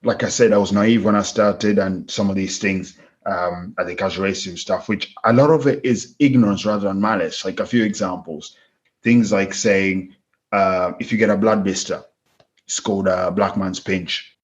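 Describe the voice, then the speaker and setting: A man's voice: low voice, fairly even intonation, moderate pace. Earnest Kenyan-accented man in his thirties. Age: 30s. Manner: earnest, reflective, speaks with many restarts. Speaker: farm manager guest. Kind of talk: candid conversational interview. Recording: slightly dull lo-fi sound.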